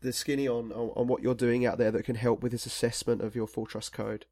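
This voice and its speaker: A man's voice: mid-range voice, level tone, brisk, darkish tone, no echo. A man hosting a tech podcast, talking in a rambling, casual way with many fillers and restarts. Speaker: podcast host.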